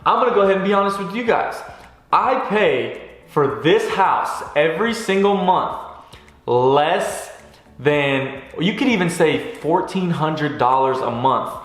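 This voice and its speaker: A young man delivers a motivational vlog with preachy energy, hammering his points through repetition. His voice sits slightly high, and every word bounces around a very reverberant room. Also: measured pace. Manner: energetic, preachy, repeats himself for emphasis. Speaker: vlogger. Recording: very echoey room.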